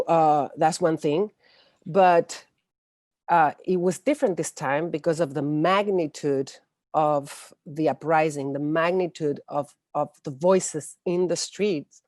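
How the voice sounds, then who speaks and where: A woman in her forties with a Chilean accent speaks in a fairly low register. The sound is dry, with little room in it. She explains things conversationally as an interview guest, halting now and then to search for words. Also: darkish tone, dry recording. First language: Spanish. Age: 40s. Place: indoors, room with bookshelves, on a video call. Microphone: wired earphones on a video call.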